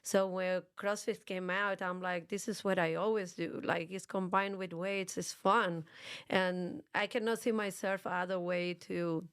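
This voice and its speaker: A woman's voice: lowish voice, fairly even intonation, a little slow, smooth, dry recording, studio quality. A woman from Argentina with a strong Argentine Spanish accent, Spanish as her first language, chatting casually as an interview guest and often repeating words. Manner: repeats words, laughs at herself. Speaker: guest, gym coach.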